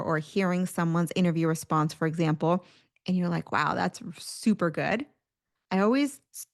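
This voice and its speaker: A middle-aged woman, a career coach hosting a podcast, talking in a casual, encouraging way with plenty of "like" and "you know". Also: on the low side.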